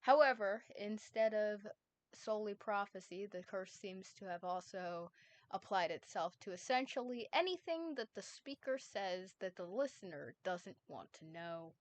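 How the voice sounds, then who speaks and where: A woman reading the part of a calm, all-knowing male time ghost in a fan story, in a measured explaining way. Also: medium pitch, close to an everyday voice.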